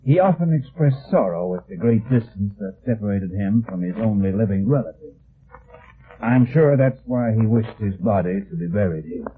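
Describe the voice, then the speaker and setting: An actor playing a formal, loyal manservant in an old-time radio drama, polite and hesitant. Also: male voice, on the low side, animated, normal speed, a little hiss or hum, lightly acted. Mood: respectful. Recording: muffled, phone-like old radio recording.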